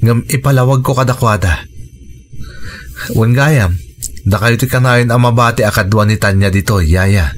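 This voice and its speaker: A man's voice: mid-range voice, moderate pace, long pauses, natural voice. A man playing an earnest, reassuring widowed father who is courting, in a radio drama. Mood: matter-of-fact. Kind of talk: Ilocano radio drama acting.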